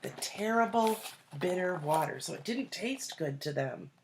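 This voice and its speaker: A woman reading a children's storybook aloud like a teacher, deliberately, pausing to explain and put questions to young listeners. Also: on the low side, animated, a little hiss or hum, poor audio. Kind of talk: expressive storybook read-aloud with asides and questions.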